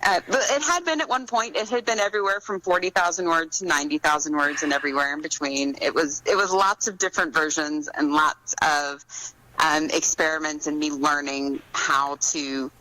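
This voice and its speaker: A woman's voice: low voice, moderate pace, sharp tone, rough recording. A woman giving conversational answers as a guest author in an interview.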